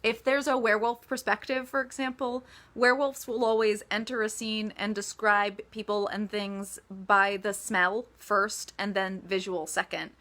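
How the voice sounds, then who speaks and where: A woman's voice: medium pitch, little pitch movement, moderate pace, few pauses, fairly bright tone. A woman author answering reader questions in a casual, chatty livestream style with emphatic asides.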